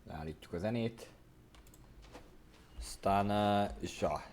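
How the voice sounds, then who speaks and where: Low-voiced man chatting on stream. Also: slow pace, darkish tone, slight background noise. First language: Hungarian. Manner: hesitant, thinking aloud. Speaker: game streamer. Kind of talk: casual livestream chat.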